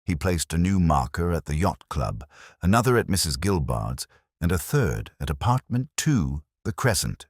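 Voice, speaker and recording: Very deep-voiced male storyteller. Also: big pitch swings, normal speed, slightly rough, dry recording, clean studio sound. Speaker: narrator of a detective story. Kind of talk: scripted audiobook narration.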